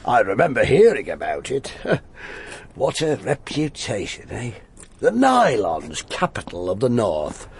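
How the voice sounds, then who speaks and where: A wry, gravelly police inspector. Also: male voice, mid-range voice, slight background noise, full character voice. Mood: wry, brooding. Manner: musing, clipped questions, sardonic. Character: wry, brooding, authoritative male police detective inspector. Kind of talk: radio drama acting.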